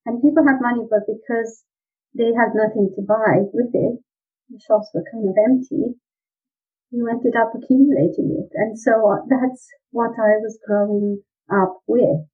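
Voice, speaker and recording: A woman's voice: on the high side, steady tone, normal speed. A woman from Romania, a guest on an interview, explaining sales mindset in an earnest way. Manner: earnest, explanatory, laughs lightly at times. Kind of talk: conversational interview. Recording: husky voice over a tinny phone-like line.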